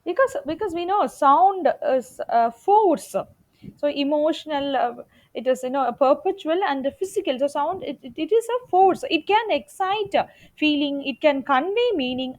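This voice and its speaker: A woman lecturer with a high voice that moves up and down with lively intonation. She explains step by step in a teaching style, stressing and repeating the important points. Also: moderate pace. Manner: emphatic, repeats key points for students. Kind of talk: explanatory lecture on media production.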